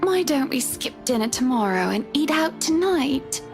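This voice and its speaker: A woman's voice: sits high, sing-song, moderate pace, darkish tone, soft background music. A woman playing a seductive, pouting wife in a visual novel game, coy and alluring. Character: seductive, pouting, queenly wife who beckons her husband.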